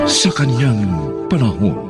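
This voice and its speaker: Slow, dramatic Filipino male narrator. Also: mid-range voice, sing-song, over loud music.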